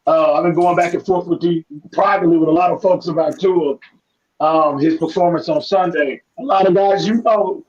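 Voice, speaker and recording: A man with a raspy, hoarse voice giving animated, detailed football analysis in casual talk. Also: a little high, moderate pace, dull audio. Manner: animated, analytical, many restarts.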